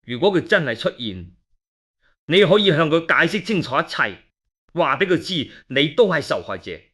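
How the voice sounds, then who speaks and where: A man's voice: fairly high pitch, sing-song, normal speed, a bit bright. A man acting an inquisitive, level-headed investigator who narrates and speaks in an audio drama. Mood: reassuring.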